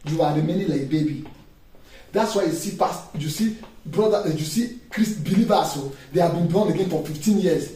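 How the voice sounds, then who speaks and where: A man preaches a sermon, high in pitch for a man and emphatic, often pausing to draw answers from his listeners. His voice reaches the mic from some distance and rings around an echoey church hall. A faint hum of noise runs under it. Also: dark tone. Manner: emphatic preaching with call-and-response questions. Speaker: pastor.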